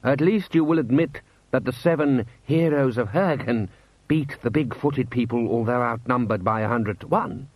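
A man acts out a deluded aristocratic army lord in an audiobook story. He is pompous, blustering and insistent, with a somewhat raised pitch. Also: moderate pace, a little mellow, no echo, close to an everyday voice, low-quality recording. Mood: indignant, insistent.

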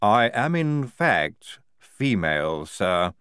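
A man doing a calm, lisping young woman surgeon. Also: lowish voice, clean tone, dry recording, clean studio sound, heavily acted. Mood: matter-of-fact. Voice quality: slight lisp. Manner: slow, polite, matter-of-fact. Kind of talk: audiobook character voice.

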